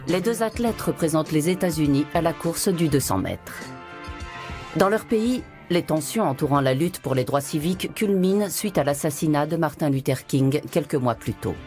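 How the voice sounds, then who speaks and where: Low-voiced woman narrating over music. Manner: measured, even narration. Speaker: documentary narrator. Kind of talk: scripted documentary narration.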